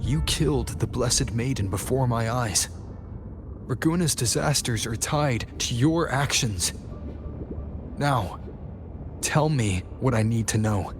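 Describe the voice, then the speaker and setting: An actor playing a serious, suspicious young warrior in a video game, stern and probing. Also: male voice, mid-range voice, lively intonation, takes it slowly, long pauses, music in the background. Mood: accusing. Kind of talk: scripted video game voice acting.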